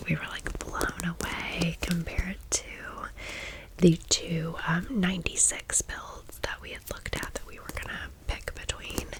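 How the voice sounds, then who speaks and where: A woman's voice: fairly low pitch, poor audio. A woman in her thirties whispering a casual ASMR storytime in chatty, slangy millennial phrasing. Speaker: ASMR creator.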